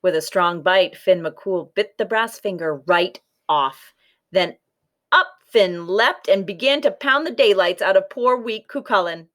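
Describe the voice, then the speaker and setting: A woman reads a folktale aloud as a storyteller. Her voice sits at a middle pitch, and her delivery is scripted storybook narration. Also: unhurried, a bit bright. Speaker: story reader.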